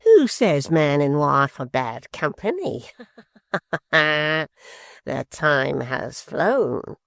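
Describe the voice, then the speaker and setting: Reader putting on a nasty little dwarf guy, leering, mock-sweet and slow, dry sound. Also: medium pitch, sing-song, vocal fry, no room sound, heavily acted. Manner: slow, mocking, falsely affectionate. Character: malicious hunchbacked male dwarf, leering and cunning.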